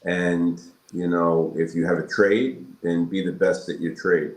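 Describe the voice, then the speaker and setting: Guy in his 60s from brooklyn, really slow and halting, thoughtful interview guest, echoey room. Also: low-pitched, fairly even intonation. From Brooklyn, New York, United States. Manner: measured, halting, pauses between phrases. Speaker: guest, periodontal surgeon. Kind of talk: interview answers, reflective. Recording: roomy echoing sound.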